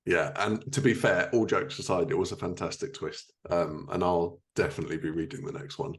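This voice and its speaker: Low-pitched man hosting a podcast. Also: brisk. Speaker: podcast host. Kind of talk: casual interview chat.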